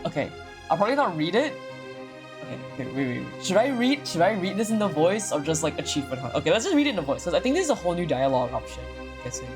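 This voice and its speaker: A woman livestreaming a game with casual, excitable commentary, often repeating her phrases. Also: lowish voice, quick pace. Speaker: streamer. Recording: music behind the voice. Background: game music.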